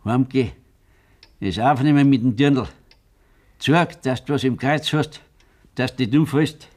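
A man's voice: medium pitch, unhurried, a little mellow, lightly acted. Stern old Bavarian farmer played by a man. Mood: stern, reproachful. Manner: scolding, blunt, rustic. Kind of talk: German radio play acting.

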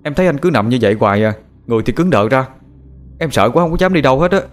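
A man's voice: mid-range voice, expressive, rapid-fire, voice pushed up, natural voice. A man voicing a cowardly, clingy younger brother with a frantic, whiny, pleading manner in a horror story. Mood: anxious. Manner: wailing, pleading.

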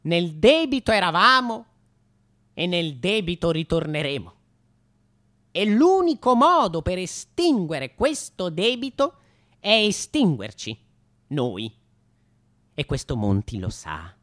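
A man's voice: sits high, sing-song, takes it slowly, pauses often, a bit bright. A man in his late twenties performing a satirical radio comedy monologue, theatrical and mock-nostalgic, with rhetorical questions and hesitant repetitions. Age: late 20s.

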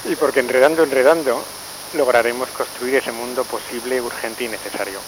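A man's voice: medium pitch, fairly even intonation, fairly quick, noisy background. A man with an accent from Spain calling a radio show with an earnest activist declaration, using rhetorical questions and repetition. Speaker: radio phone-in caller.

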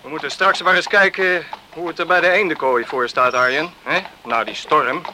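An actor playing a good-natured, concerned rural islander in a Dutch radio play, exclamatory and given to repeating himself. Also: male voice, on the high side, steady tone, moderate pace, sharp tone. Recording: noisy old radio play recording.

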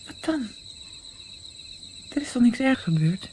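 An actress playing a caring, puzzled young granddaughter in a radio drama, speaking in a direct, questioning way. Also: female voice, a little high, very animated, measured pace, mellow. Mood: worried. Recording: faint noise, night crickets. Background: chirping crickets.

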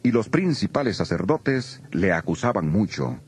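A man's voice: lowish voice, sing-song, moderate pace. A man narrating scripture as a solemn, dramatized scripted reading. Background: music.

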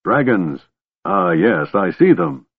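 A fierce, decrepit old gold dragon, voiced by a man reading a fantasy story. The voice booms and trumpets with rough edges, swinging widely in pitch. It is grandiose and muddled, always eager for battle. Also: sing-song, slow, narrow, tinny audio, exaggerated voice. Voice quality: deep, booming. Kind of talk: audiobook fantasy character voice.

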